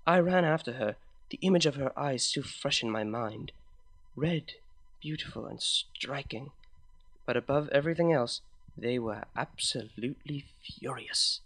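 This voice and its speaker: An expressive man reads a story aloud with animated delivery. His voice is somewhat high for a man's. He moves between narration and casual sign-offs to his listeners. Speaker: fanfiction reader. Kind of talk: story reading.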